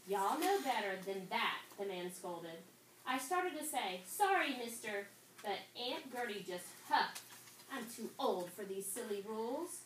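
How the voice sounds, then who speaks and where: A woman's voice: a little high, fairly bright tone, recorded from a distance. Woman teacher in a roomy, noisy classroom. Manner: instructive, pauses to pose questions to students. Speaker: fifth-grade teacher. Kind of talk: classroom read-aloud and instruction.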